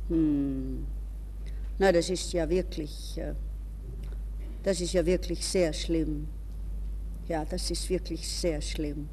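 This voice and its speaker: Suave older rich guy, slow courteous condescension, echoing hissy 60s radio drama. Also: on the high side, fairly even intonation, warm tone. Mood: cool, patronising. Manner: measured, smooth, drawn-out courtesy. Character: suave, condescending older gentleman, wealthy family friend. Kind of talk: 1960s German radio drama acting. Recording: reverberant, hissy 1960s radio recording.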